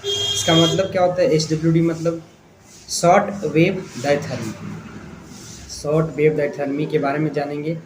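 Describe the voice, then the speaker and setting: A man's voice: a little high, flat delivery, moderate pace. A man with an Indian accent giving an informal lecture as an instructor, explaining step by step with repetition and rhetorical questions. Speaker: physiotherapy instructor and channel host. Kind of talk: informal spoken lecture to viewers. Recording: echoey room, clear background noise.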